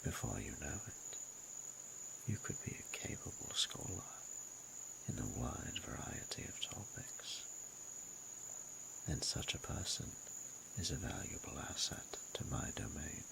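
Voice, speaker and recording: A man voicing a flirtatious, possessive yet respectful dragon with a very deep, gravelly voice and formal, archaic, teasing diction in a romantic audio roleplay. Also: very animated, a little slow, pauses often, noisy background, exaggerated voice. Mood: seductive, earnest. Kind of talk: scripted romantic audio roleplay, intimate monologue.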